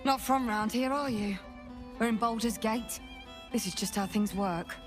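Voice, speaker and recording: An actress playing an earnest, dogged city guard investigator in a video game, with an intense, deliberate, confiding delivery. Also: female voice, a little high, lightly acted. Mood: resigned, matter-of-fact. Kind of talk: dramatic game dialogue. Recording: background music under the voice.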